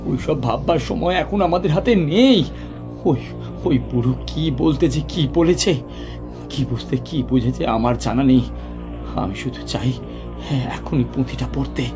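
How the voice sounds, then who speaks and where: An impatient, determined young man in a horror audio story , acted by a man. He speaks in a medium pitch, brusque and dismissive, with clear music underneath. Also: measured pace, lightly acted.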